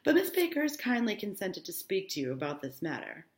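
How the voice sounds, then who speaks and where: A woman's voice: medium pitch, wildly swooping pitch, brisk, reverberant. A woman voicing a confiding, self-assured rich young man with courtly manners, in a novel read aloud.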